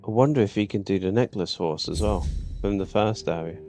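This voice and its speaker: Low-voiced man commenting on a game. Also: a little fast, darkish tone, faint music behind. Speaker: gaming video host.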